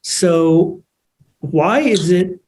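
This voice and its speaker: Mellow, higher-pitched man moderating a panel. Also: expressive, very slow, slight background noise, low-quality recording. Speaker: ceremony host and moderator. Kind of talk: informal moderated panel talk.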